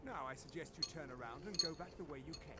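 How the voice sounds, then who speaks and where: An actor playing a stern, haughty elf guard in a fantasy video game, curt and commanding. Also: male voice, fairly high pitch, animated, fast, very noisy, natural voice. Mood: stern, dismissive.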